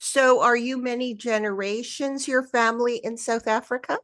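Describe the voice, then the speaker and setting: A woman's voice: fairly high pitch, fairly even intonation, moderate pace, a bit bright, no room sound, studio quality. A woman hosting a podcast interview, asking questions in a chatty way with fillers. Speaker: podcast host.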